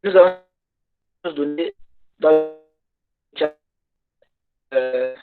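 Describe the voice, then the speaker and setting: A man whose first language is English, giving a workshop talk in halting French over a video call and pausing to search for words. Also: on the high side, loud music behind the voice. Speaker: conference speaker. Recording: tinny phone-like call audio.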